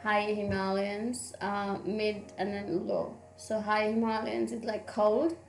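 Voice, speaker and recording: A woman's voice: mid-range voice, level tone, takes it slowly, vocal fry, a little mellow, reverberant, slight background noise, low-quality recording. A young woman from Nepal, a podcast guest, chatting casually and patiently explaining things. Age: young adult. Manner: patient, explaining and correcting pronunciation.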